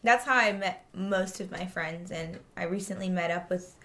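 A woman's voice: on the low side, normal speed, sharp tone. Casual young woman from Japan, acting out reactions. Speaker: interview guest. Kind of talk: casual conversation.

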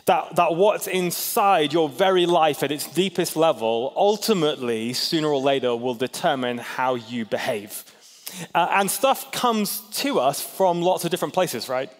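A man's voice: a little high, lively intonation, measured pace. Chatty man preaching in a big hall. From Hong Kong. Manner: chatty, self-deprecating, asks the crowd questions. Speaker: pastor. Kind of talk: sermon. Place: large church hall. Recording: long hall reverb.

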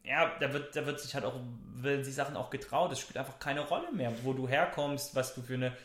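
A man's voice: mid-range voice, brisk, fairly bright tone, roomy sound. A man co-hosting a podcast in casual chat, enthusiastic and rambling with restarts. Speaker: podcast co-host.

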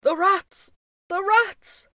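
A woman's voice: medium pitch, very animated, normal speed, no room sound, studio quality, natural voice. A woman voicing a pompous, selfish male Lord Mayor in a storybook tale, blustering and exclamatory. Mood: panicked. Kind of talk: storybook character voice.

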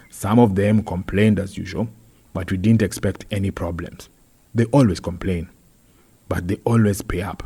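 Man acting a low, husky aggrieved councillor. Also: warm tone, heavily acted. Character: earnest male city councillor reporting a grievance.

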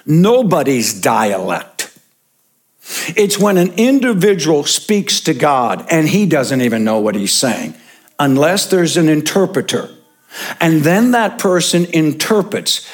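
A male pastor preaching a sermon in church, stressing and repeating his main points for the congregation. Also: on the high side.

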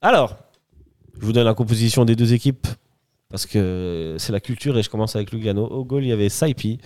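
A man talks football on a podcast, dropping jokes and hesitations as he works through lineups. His voice is smooth and clear, recorded close and dry. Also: fairly low pitch, a little fast, a little mellow, dry recording. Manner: jokey, many hesitations, reads team lineups. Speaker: podcast co-host. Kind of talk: casual football analysis chat.

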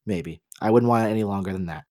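A man's voice: fairly low pitch, quick pace, a little mellow, no echo, clean studio sound. A man co-hosting a casual, opinionated discussion, explaining his points at length in a rambling, emphatic way. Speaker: podcast co-host.

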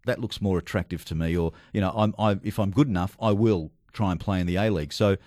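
A man's voice: low-pitched, fast talker, darkish tone, no room sound, studio quality. A man with an Australian accent hosting a sports radio panel show, chatting casually and switching to an upbeat announcer tone.

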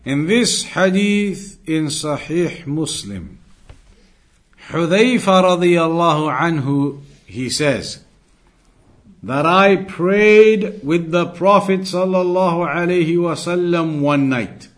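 Guy doing an islamic lesson, pretty high pitched voice, deliberate, repeats stuff to drive it home. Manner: deliberate, repeats words and phrases for emphasis. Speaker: religious teacher. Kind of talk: Islamic lesson, reading Arabic texts aloud and explaining them in English.

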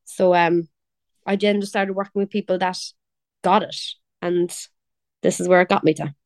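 A woman's voice: lowish voice, steady tone, moderate pace, a little mellow, dry recording. A young woman from Cork with a Cork Irish accent, chatting casually as an interview guest, laughing and quoting others. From Ireland. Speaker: pop singer, guest.

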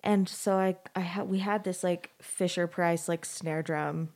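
A woman's voice: lowish voice, steady tone, a little slow, darkish tone. A woman in her thirties hosting a podcast, talking in a rambling, casual way with many fillers and restarts. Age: 30s. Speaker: podcast host. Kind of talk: casual chat.

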